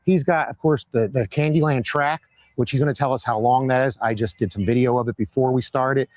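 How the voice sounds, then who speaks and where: A hoarse man hosting a live-stream interview outdoors, upbeat and fond of repeating phrases for emphasis. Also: medium pitch, fairly quick, a little mellow. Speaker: host. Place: outdoors at a Christmas light display by a road.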